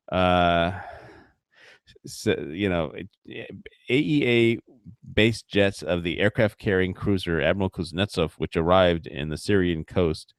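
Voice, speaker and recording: A man's voice: sits low, dry recording, studio quality. A man hosting a livestream, rambling in casual commentary with frequent fillers, profane and mocking. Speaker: livestream host.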